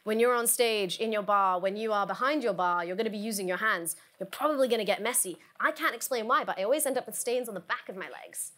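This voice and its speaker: A woman in her young adult years talks to a conference audience in an easy, earnest way, dropping in plenty of "like"s. Her voice has a bright, forward edge. She sits at a middling pitch for a woman. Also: fast talker, few pauses. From South West England. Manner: chatty, earnest, many fillers. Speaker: bar education advisor. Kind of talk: conference talk. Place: conference stage.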